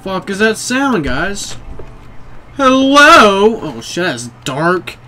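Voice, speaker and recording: A young man in a small bedroom, casually commenting on a horror game for viewers, jumpy and excitable, swearing often. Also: high-pitched, extremely slow, soft background music. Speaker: gaming video creator.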